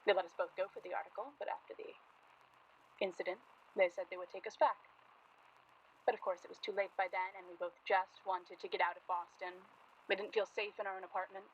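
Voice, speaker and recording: A woman's voice: mid-range voice, flat delivery, brisk, lo-fi sound, a little hiss or hum, natural voice. A young woman playing an earnest, anxious journalist in a naturalistic period radio drama, polite and guarded in manner. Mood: wry, weary. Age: young adult.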